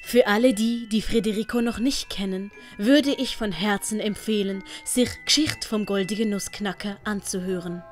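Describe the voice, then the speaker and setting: A woman narrates an audiobook. Her voice sits at a medium pitch as she reads the scripted story, with music playing underneath. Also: steady tone, moderate pace, hardly any pauses.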